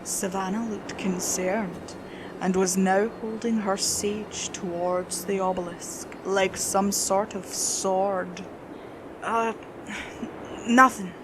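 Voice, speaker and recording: A woman's voice: medium pitch, little pitch movement, slow pace, background noise. A woman reading a scripted horror story as its narrator.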